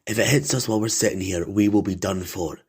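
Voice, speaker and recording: A man playing a grumbling but reasoning apocalypse survivor in an audio drama, with a husky voice. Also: on the low side, fast. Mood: urgent reassurance.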